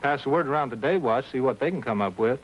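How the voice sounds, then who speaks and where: An actor playing an easygoing, cooperative American juvenile officer in clipped 1950s police drama style. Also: male voice, medium pitch, expressive, fast talker, natural voice. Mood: casual, helpful. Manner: casual, terse, drops subjects. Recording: muffled 1950s TV soundtrack.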